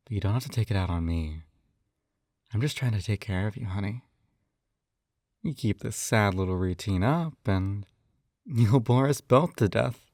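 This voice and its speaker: A man's voice: on the low side, a little mellow, dry recording, studio quality. A man acting in an intimate scripted roleplay as a possessive, doting captor who coaxes in a slightly condescending way. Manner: coaxing, doting, slightly condescending, often sighing. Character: possessive, coaxing male yandere captor and hypnotist. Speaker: audio roleplay performer.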